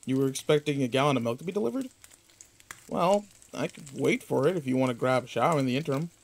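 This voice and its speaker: A man acting in an audio roleplay as a protective, teasing, rough-edged but kind pretend boyfriend, talking intimately and casually. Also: mid-range voice, animated, quick pace. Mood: playful, relaxed.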